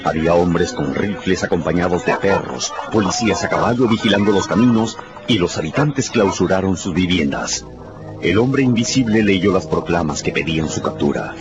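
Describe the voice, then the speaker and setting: Really low guy narrating a radio drama, lots of expression, music under him, slightly muffled. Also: expressive, normal speed. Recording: dull sound with music underneath.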